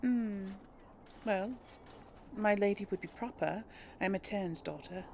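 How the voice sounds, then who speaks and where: Slow-paced, musing woman gamer. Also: mid-range voice, vocal fry, darkish tone, a little hiss or hum. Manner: musing, talks to herself. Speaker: gaming video host playing in character. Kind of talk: casual role-play commentary, reading dialogue choices aloud.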